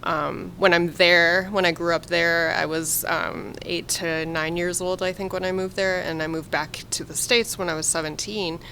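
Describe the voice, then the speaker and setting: A woman's voice: on the low side, steady tone, normal speed, few pauses, sharp tone, slight background noise, poor audio. A woman in her thirties hosting a podcast, asking curious, thoughtful questions. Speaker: podcast host. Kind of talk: casual interview.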